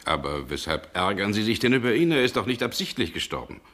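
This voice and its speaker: Reserved gentleman, deep voice, formal, measured, coolly irritated, aloof, vintage German radio play. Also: very animated. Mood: puzzled, probing. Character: guarded gentleman, coolly irritated by an unwelcome guest. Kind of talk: 1960s German radio drama acting.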